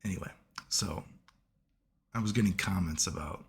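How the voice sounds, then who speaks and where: A man, hoarse from being sick, giving casual analytical commentary as a video host and checking in with "right?" at nearly every turn. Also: deep voice, normal speed. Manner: keeps asking "right?" as he lectures, swears casually.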